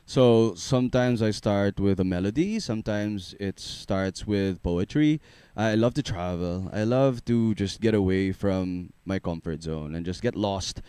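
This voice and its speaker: A young man with a low, smooth baritone talks about his music in a relaxed, rambling way, full of little fillers and easy laughs. His voice sits close to the microphone in a dry studio. He is from the Philippines. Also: unhurried, no echo. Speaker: singer-songwriter guest. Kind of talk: casual radio interview chat. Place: radio studio.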